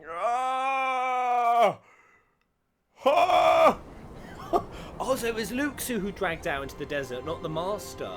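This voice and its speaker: Excitable man reacting to a game. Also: extremely high pitch, slow pace, pauses often. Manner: excitable, swearing, shouting at the screen, dropping to whispers. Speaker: reaction video host. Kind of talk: live reaction commentary. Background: game cutscene audio and music.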